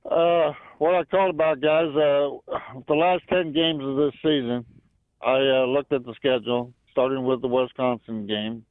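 A man's voice: medium pitch, fairly even intonation, normal speed, no echo. An older man with an American accent calling a sports talk show by telephone to chat casually. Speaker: phone-in caller. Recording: thin muffled phone line.

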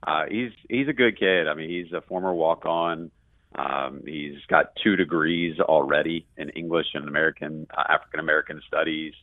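A man giving conversational sports analysis as a radio play-by-play guest, in a halting way with self-corrections. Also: a bass voice, fairly even intonation, no room sound, phone-quality sound.

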